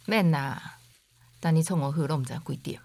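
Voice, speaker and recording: A woman's voice: low-pitched, moderate pace, vocal fry, a little mellow, dry recording. A woman playing a stubborn, scolding grandmother in a radio drama, older-sounding and reproachful. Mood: nagging. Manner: nagging, reproachful. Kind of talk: amateur radio drama acting.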